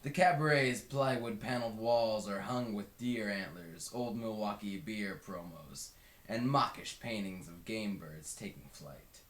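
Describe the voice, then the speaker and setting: A man's voice: fairly low pitch, a little slow, fairly bright tone. A man narrating a book aloud as a scripted read-along. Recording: roomy, echoing home recording.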